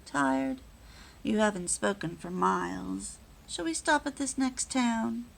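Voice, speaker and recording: A woman voicing a tender young husband who gently reassures his wife in a read-aloud story. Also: a little high, lively intonation, takes it slowly, creaky, a little hiss or hum, full character voice, rough recording. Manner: gentle, affectionate.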